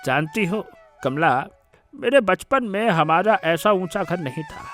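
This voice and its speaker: A man playing a warm, sentimental elderly village father in a Hindi cartoon story, given to exclaiming. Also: high voice, expressive, unhurried, faint music behind. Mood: warm, reminiscing. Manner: exclamatory, repeats words of praise.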